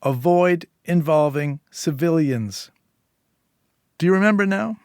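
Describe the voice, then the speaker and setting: A man playing an elegant, coldly menacing crime boss in a story reading, formal, measured and commanding. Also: on the high side, lively intonation, darkish tone, clean tone, dry recording, clean studio sound, voice pushed up. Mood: menacing politeness.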